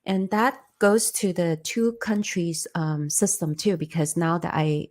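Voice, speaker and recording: A woman's voice: fairly low pitch, fairly even intonation, a little slow, a little mellow. A woman from China, speaking English as a second language, an interview guest telling her story in an earnest way. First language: Chinese. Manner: earnest, storytelling, non-native grammar. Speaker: interview guest, former candidate. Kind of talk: conversational interview.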